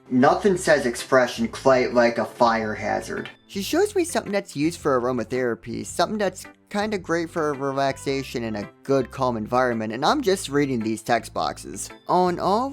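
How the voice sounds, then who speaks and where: A game reviewer narrating a scripted comedic recap with wry, joking asides. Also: mid-range voice.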